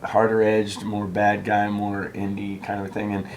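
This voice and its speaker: A man's voice: fairly low pitch, monotone, moderate pace, a little hiss or hum. A man from the United States, an actor guest in a backstage interview, telling stories in an easygoing, chatty way with frequent restarts. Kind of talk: casual interview storytelling. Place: backstage room at a theater.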